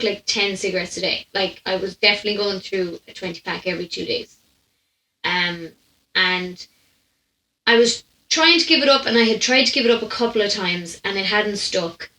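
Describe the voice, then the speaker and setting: A young woman with an Irish accent and a bright, forward voice hosts a casual podcast. She rambles through her storytelling with plenty of asides and self-interruptions. The sound is roomy, like a microphone set up in a small room at home. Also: medium pitch. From Ireland. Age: young adult. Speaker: podcast host. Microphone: microphone on a stand, close in front.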